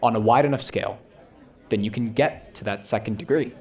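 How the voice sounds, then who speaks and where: Fast-talking young founder pitching. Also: male voice. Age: young adult. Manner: rapid, punctuates with "right?". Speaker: startup founder on a panel. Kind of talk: fast, enthusiastic pitch talk. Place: conference stage before an audience.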